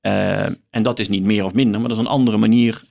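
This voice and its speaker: A middle-aged man, a guest professor explaining things in an interview, thoughtfully and emphatically, halting between phrases. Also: on the low side, fairly even intonation, creaky, darkish tone. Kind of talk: explanatory interview talk with some reading aloud.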